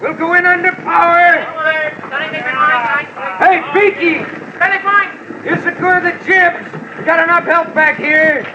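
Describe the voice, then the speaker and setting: An actor playing a tough schooner captain who shouts commands at his crew in a 1950s radio drama. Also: extremely high pitch, flat delivery, measured pace, pauses often. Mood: commanding. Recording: muffled old radio, very loud noise.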